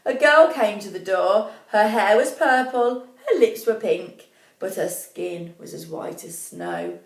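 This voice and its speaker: A woman reading a children's story as expressive, deliberate narration, pausing to put questions to young listeners. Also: mid-range voice. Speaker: primary school story reader. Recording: echoey room, distant mic.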